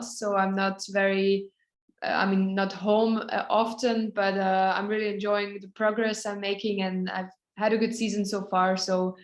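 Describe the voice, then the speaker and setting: Relaxed woman chatting casually as a panel guest. Her voice stays level, with little rise or fall, and the room around her is echoey. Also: mid-range voice. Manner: relaxed, even and plain. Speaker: professional tennis player, panel guest. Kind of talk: casual chat.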